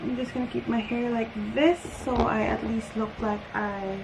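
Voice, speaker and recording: A woman's voice: a little high, fairly even intonation, normal speed, creaky, noisy background. A woman talking casually and giggly to viewers in a rambling, conversational video diary, recorded indoors at home. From Philippines. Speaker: book vlogger.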